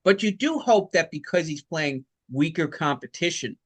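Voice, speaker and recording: A man's voice: on the high side, lively intonation, moderate pace. A man co-hosting a sports podcast, giving conversational basketball analysis in an emphatic, explanatory way.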